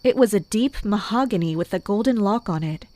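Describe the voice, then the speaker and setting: A composed woman who captains mercenaries , as voiced by an actress. She tells her story in a measured, matter-of-fact tone with a dry edge, at a middle pitch, in the style of an audio drama. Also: expressive, warm tone, dry recording, close to an everyday voice. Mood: grim, focused. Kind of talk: first-person narration and dialogue in an audio drama.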